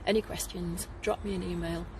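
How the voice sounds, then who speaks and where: A woman's voice: on the low side, steady tone, fairly quick, noisy background. A middle-aged woman with a Liverpool accent, a tour guide chatting casually to the camera outdoors and laughing often. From Liverpool, England. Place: outdoors at a garden site.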